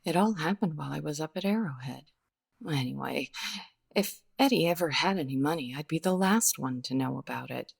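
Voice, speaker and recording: An actress as a jaded widow from an old radio detective play. Her voice sits very low and crackles with fry. She measures her words, guarded and bittersweet, hesitating as she goes. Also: lively intonation, exaggerated voice. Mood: subdued, resigned. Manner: world-weary, hesitant, measured. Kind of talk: 1950s radio detective drama acting.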